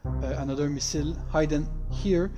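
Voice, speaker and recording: A native French-speaking man with a French accent gives casual, halting playthrough commentary as a game developer, hesitating in non-native English. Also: a little high, mellow, faint music behind.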